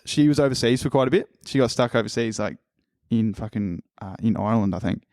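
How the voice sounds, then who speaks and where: A man's voice: fairly low pitch, a little fast, a little mellow, no echo, clean studio sound. A man, hosting a casual podcast chat, rambling with lots of fillers and casual swearing. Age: 20s. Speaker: podcast host.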